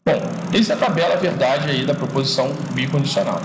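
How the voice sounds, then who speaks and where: A man's voice: mid-range voice, over music. A male teacher giving an informal logic lesson, often checking in with "né?" and restarting his sentences as he thinks aloud. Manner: explaining slowly, frequent checks like "né?" and "tá?"; restarts and repeats words while thinking aloud. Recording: echoey classroom from far off with street noise.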